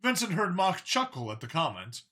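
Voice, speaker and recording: Male narrator for scripted fiction audiobook, bright timbre, lively delivery. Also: fairly high pitch, moderate pace.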